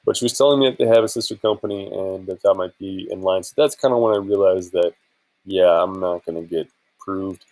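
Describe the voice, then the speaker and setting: A man's voice: low voice, a little fast, darkish tone, no room sound, poor audio. A man chatting casually in an informal, rambling and hesitant way for a screen-share vlog, sounding a bit tired. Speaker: vlogger and affiliate marketer.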